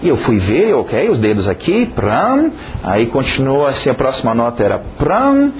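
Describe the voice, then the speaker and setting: Lively male English teacher, non-native speaker. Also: high-pitched, slight background noise, poor audio. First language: not Portuguese. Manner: animated, explanatory, often checks in with "ok?"; imitates sounds playfully. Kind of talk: recorded course lecture.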